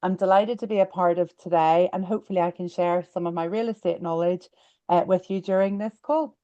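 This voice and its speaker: A woman's voice: lowish voice, steady tone, brisk, dark tone. Belfast woman on a dull online line. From Belfast, Northern Ireland. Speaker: real estate lawyer, panellist. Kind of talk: self-introduction on a webinar. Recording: dull, lo-fi online call audio.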